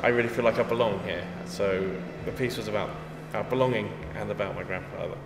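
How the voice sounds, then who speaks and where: A man's voice: lowish voice, fairly even intonation, fast, background noise. A man with an English accent, a composer talking in an interview, thoughtful and self-deprecating, often restarting his sentences. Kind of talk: casual interview. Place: echoey gallery hall. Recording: echoey hall, music behind.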